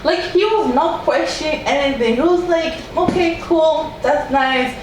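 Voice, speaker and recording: A woman's voice: high voice, unhurried, distant mic. A woman talks through a true-crime case in loose, chatty storytelling, her voice lifting when she quotes people. She sits well back from the mic in a very echoey room, with a faint hiss behind her. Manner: chatty, animated, quoting people in raised voice. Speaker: podcast host.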